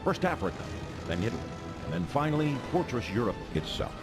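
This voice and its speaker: An actor playing a solemn, rousing wartime narrator, delivering dramatic scripted narration for a video game. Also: male voice, medium pitch, sing-song, fast talker, lightly acted. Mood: grave, stirring. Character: stirring, grave wartime newsreel narrator. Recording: loud music under narration.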